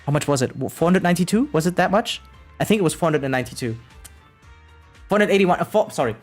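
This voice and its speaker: A game streamer chatting casually with viewers, excitable and sweary, reacting aloud and reading bits of game text. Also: mid-range voice, fast, soft background music.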